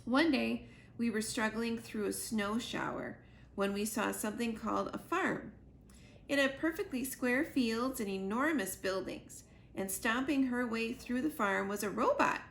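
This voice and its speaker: A woman's voice: a little high, unhurried, gravelly, a little hiss or hum. A woman reading a children's book aloud as a young goose earnestly telling his mother about his adventures. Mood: earnest, troubled.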